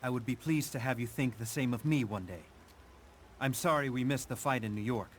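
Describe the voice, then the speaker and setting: An actor playing an earnest, determined young frontier militia captain in a video game cutscene. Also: male voice, mid-range voice, brisk, a little hiss or hum, natural voice. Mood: respectful. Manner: earnest, resolute.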